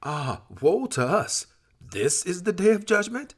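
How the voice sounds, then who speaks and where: A man's voice: sits high, big pitch swings, measured pace, husky, voice pushed up, full character voice. A man voicing doomed sinners and scoffers in a dramatized scripture reading, exclamatory, anguished and accusing. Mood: wailing.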